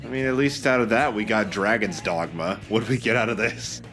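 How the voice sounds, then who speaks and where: A man's voice: lowish voice, brisk, fairly bright tone, soft background music. A man co-hosting a let's play, chatting casually with a dry, wry way of talking. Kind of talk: casual gaming commentary chat.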